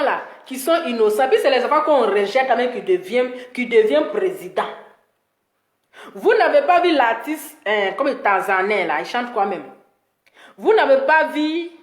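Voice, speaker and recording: A woman's voice: high voice, roomy sound. A woman with an Ivorian accent hosting an opinionated live stream, scolding emphatically and repeating her points for emphasis. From Ivory Coast. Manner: animated scolding, emphatic repetition. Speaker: social media live-stream host.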